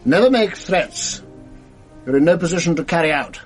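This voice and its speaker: A man's voice: on the high side, lively intonation, moderate pace, faint music behind, lightly acted. An actor playing a stern, sarcastic middle-aged male headmaster, dry and authoritative.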